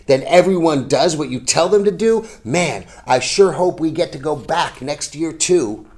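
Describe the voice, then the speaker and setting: Reader as an eager, cheeky schoolboy, very animated. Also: mid-range voice, unhurried, pitched above the performer's own voice, close to an everyday voice. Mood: breezy wonder. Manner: eager, earnest, tall-tale telling. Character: imaginative, cheeky, unabashed young schoolboy storyteller.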